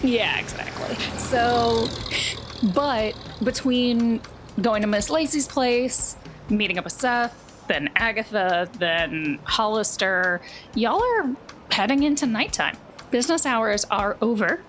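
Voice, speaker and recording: A woman with a fairly high voice recaps and narrates as game master. Her delivery is conversational and folksy. There is noticeable background noise on the recording. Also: lively intonation, takes it slowly, fairly bright tone.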